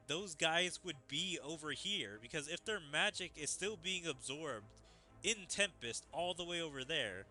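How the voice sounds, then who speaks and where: Very bright-voiced man over game music. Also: fairly high pitch. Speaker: game streamer. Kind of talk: reading game dialogue aloud with commentary.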